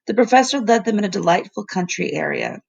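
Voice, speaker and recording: A woman narrates a children's storybook in a medium-pitched voice with noticeable creak. Her delivery is deliberate and expressive, like a teacher reading aloud to a class. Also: muffled recording.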